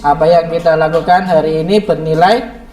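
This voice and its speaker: A man teaching a class, hoarse and slightly raspy from a cold, quizzing his students in a lively way. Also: fairly high pitch, a little fast. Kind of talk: classroom lesson. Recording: echoey classroom from far off, students behind.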